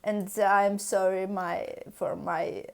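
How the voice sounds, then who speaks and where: Slow, halting Russian-accented woman vlogger. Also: medium pitch, flat delivery, clear, resonant tone. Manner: hesitant, searching for words, many fillers. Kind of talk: halting casual talk in a second language.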